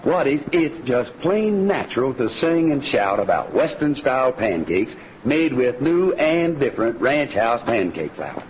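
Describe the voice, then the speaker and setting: An American man playing a friendly, folksy cowboy ranch host who pitches to listeners in the style of a 1940s radio western. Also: big pitch swings, a little slow, hardly any pauses. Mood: hearty, folksy. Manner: folksy, hearty. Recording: dull lo-fi old radio recording. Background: music.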